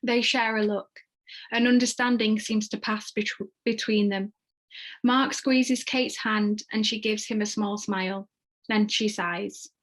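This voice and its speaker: Mid-pitched woman narrating a screenplay. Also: fairly even intonation, unhurried, rough recording. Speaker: narrator reading stage directions. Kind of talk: scripted narration.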